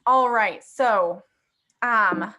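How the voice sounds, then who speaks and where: Woman, 30s, host of a video call; bright, friendly, conversational, lots of "um". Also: on the high side, drawn-out words. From Afghanistan and Pakistan. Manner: upbeat, conversational, many fillers. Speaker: host presenting a church software platform. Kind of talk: casual video call presentation.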